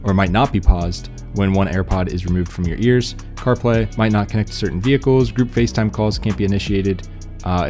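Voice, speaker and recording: A man's voice: low voice, a little mellow. A man giving casual, laid-back tech news commentary in an informal, slangy way.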